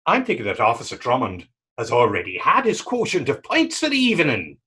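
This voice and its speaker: Man playing young Irishman, raspy, hugely swooping pitch, defiant, goading, folksy stage drama. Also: huge pitch swings, fairly quick, sharp tone, heavily acted. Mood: taunting. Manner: indignant, goading, folksy dialect. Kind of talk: stage readers theater acting.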